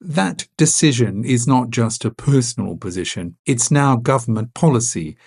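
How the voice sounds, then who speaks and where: A male reporter giving steady, scripted news narration. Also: medium pitch, normal speed, gravelly, husky.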